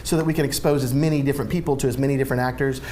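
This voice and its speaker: A man talks casually as a guest on a TV interview. He rambles in an animated, joking manner with a medium-pitched voice, over noticeable background noise. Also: rapid-fire. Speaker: radio show founder, interview guest.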